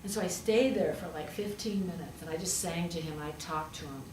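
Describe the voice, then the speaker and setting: A woman's voice: on the low side, a little fast. Woman preaching in a roomy space. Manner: conversational, with rhetorical questions and emphatic repetition. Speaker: pastor preaching, also a hospital chaplain. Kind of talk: storytelling sermon. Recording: roomy, distant pickup with faint noise.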